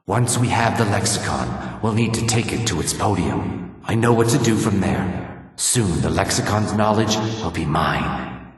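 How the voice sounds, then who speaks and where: A man voicing a shady, calculating lizard-folk adventurer in a video game, with low husky tones and measured, insinuating formal phrasing. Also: lively intonation, no room sound, exaggerated voice. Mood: eager, obsessed. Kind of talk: video game character dialogue.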